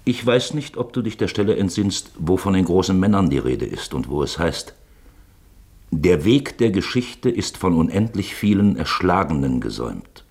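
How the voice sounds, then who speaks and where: A shrewd, insinuating questioner in a radio drama. His husky low voice swings widely in pitch as he presses his point deliberately. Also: very animated, darkish tone, heavily acted. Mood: measured probing. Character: shrewd, probing man quoting a suspect manuscript, insinuating. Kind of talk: German radio play acting.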